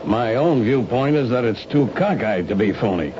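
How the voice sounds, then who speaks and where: An actor playing a skeptical, world-weary police lieutenant, wry and colloquial, in a 1970s radio drama. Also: male voice, medium pitch, animated, normal speed, close to an everyday voice. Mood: skeptical, probing. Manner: wry, colloquial, matter-of-fact. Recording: dull, noisy old radio recording.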